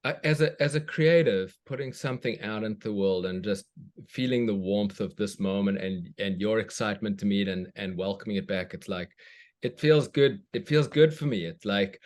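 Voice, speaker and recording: A man's voice: on the low side, darkish tone. Reflective man from South Africa. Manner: thoughtful, searching, with frequent restarts. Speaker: guest, children's book author. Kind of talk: reflective conversation.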